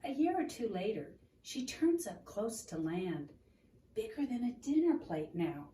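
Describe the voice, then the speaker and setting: A woman reading a children's book aloud in an expressive, deliberate storytelling manner, in a roomy, noisy recording made at a distance from the microphone. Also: on the low side. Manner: expressive, deliberate, asking the listener questions.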